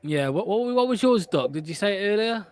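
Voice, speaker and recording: A man hosting a call-in fan show, lively and matey, calling guests mate. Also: high voice, brisk, no room sound. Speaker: host.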